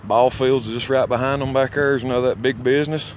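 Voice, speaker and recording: A man with a Southern drawl from Alabama narrates his walk in a folksy, boastful way. His voice sits at a medium pitch, and he rambles along in coarse, unpolished talk. Faint outdoor and vehicle noise runs behind him. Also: fairly even intonation, a little fast. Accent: Alabama Southern American. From Alabama, United States. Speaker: amateur vlogger. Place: outdoors, walking along small-town streets. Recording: noisy outdoor recording with traffic sounds. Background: outdoor ambience, passing vehicles.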